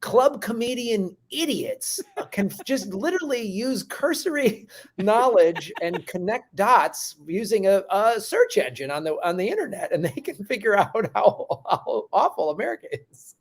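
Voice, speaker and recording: A man's voice: high voice, big pitch swings, normal speed. A man hosting a comedy podcast, riffing with sarcastic, crude jokes in casual chat.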